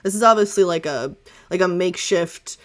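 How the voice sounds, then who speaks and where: Woman dming a tabletop game, lowish voice, chatty and kinda playful. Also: normal speed. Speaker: game master. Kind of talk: casual tabletop roleplay narration.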